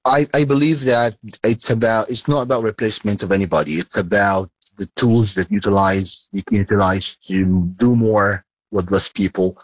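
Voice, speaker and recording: A man answering news interview questions as a company chairman, halting with many hesitations and restarts. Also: fairly low pitch, fairly even intonation, dry recording, low-quality recording. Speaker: sports data company chairman, interview guest.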